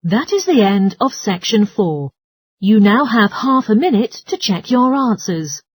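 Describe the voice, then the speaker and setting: A woman's voice: medium pitch, very animated, a little slow, breathy edge, no echo. A woman reading scripted exam instructions as a formal test announcer.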